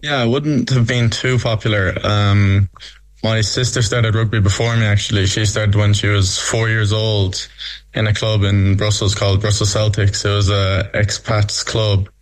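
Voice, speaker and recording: A young man with a mixed Irish accent, speaking low and almost monotone. He answers questions about himself easily and without fuss. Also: moderate pace, poor audio. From Belgium. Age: young adult. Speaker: guest, rugby player.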